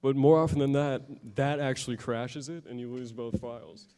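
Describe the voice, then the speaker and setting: A man in a casual chat, relaxed and swearing casually. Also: mid-range voice, normal speed, clear, resonant tone.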